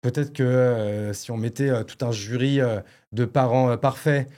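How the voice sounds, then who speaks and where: Male podcast host, loose and slangy, rambles and jokes at himself, mid-pitched ordinary voice. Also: fairly even intonation, moderate pace, warm tone. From born in Paris, living in Bordeaux. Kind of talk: casual podcast conversation. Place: agency studio set.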